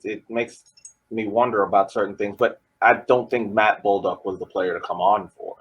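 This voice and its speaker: A man co-hosting a podcast, laying out soccer analysis in measured, complete arguments. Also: lowish voice, slightly rough, poor audio. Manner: measured, argues in full sentences. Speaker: podcast co-host.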